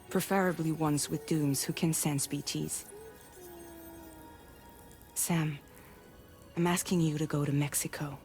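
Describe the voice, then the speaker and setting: A woman playing a grave, guarded leader of a courier group in a sci-fi game, speaking in a measured, businesslike way. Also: sits low, a little mellow. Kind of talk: cinematic game dialogue.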